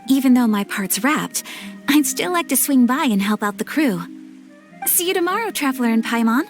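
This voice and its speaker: Game role, young noblewoman: polite, gentle, formal, high-pitched. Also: animated, normal speed, faint music behind. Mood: gracious, polite. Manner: formal, gracious. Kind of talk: video game character acting.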